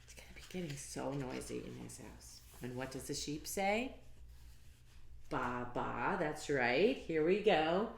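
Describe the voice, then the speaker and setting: A woman's voice: low voice, gravelly, echoey room, slight background noise. A woman reading a picture book aloud to children in a sing-song, encouraging way, with asides to her young listeners. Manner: encouraging, interactive, drawn-out sing-song phrasing.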